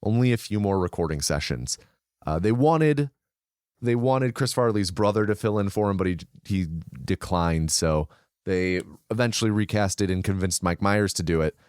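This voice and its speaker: A man's voice: fairly low pitch, animated, moderate pace, a little mellow, clean tone, dry recording, clean studio sound. A man co-hosting a casual film podcast, relaying researched behind-the-scenes trivia with frequent fillers and restarts. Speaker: podcast co-host.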